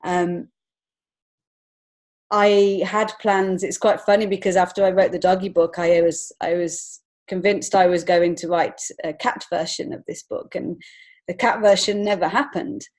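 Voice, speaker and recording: A woman's voice: on the low side, fairly even intonation, measured pace, a little mellow. A woman speaking as an interview guest in a reflective, conversational way, earnest and feeling her way through phrases. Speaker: podcast guest, children's author and illustrator.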